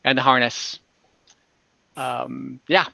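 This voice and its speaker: Bright-voiced man running a tabletop game. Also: mid-range voice, flat delivery, vocal fry, no echo. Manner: halting, conversational, often repeats himself. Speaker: dungeon master. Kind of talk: improvised narration and rulings in an online tabletop game.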